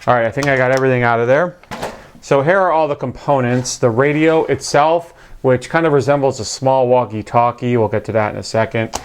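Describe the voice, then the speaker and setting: A man's voice: medium pitch, fairly quick, slight background noise. A man with a mid-range voice gives an informal product walkthrough. He speaks in a conversational, matter-of-fact way, explaining as he goes. The delivery is unscripted and plain. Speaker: product reviewer. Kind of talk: casual unscripted product review narration.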